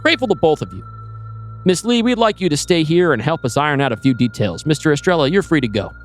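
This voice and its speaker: A man voicing an older police chief in an audio drama, courteous, measured and politely evasive. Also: fairly high pitch, very animated, soft background music, pitched above the performer's own voice. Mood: smooth, official. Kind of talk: audio drama table-read acting.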